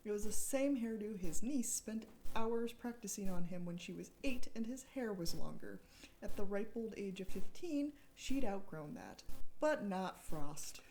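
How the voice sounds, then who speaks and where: A woman's voice: mid-range voice, animated, measured pace, warm tone, rough recording. Woman reading thriller prose aloud. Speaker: author reading own book.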